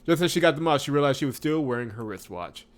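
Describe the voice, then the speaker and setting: A man hosting a visual novel playthrough, chatting casually and reading the story aloud in an expressive way with wry asides. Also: mid-range voice, fast. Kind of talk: casual let's-play commentary with read-aloud narration.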